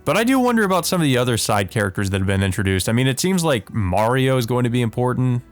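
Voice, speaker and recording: A man's voice: medium pitch, very animated, quick pace, faint music behind. A man hosting a let's play, reading visual novel text aloud with casual commentary.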